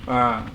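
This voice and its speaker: A man's voice: mid-range voice, vocal fry, slight background noise. Swedish man chatting on stream. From Sweden. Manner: relaxed, repeats words and restarts, talks to chat. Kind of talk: casual live-stream chat with viewers.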